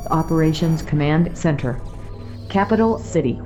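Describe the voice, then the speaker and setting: A woman's voice: low voice, expressive, normal speed, mellow. A woman playing an impersonal agency announcer, giving clipped, formal notices in a sci-fi audio drama. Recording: music clearly behind the voice.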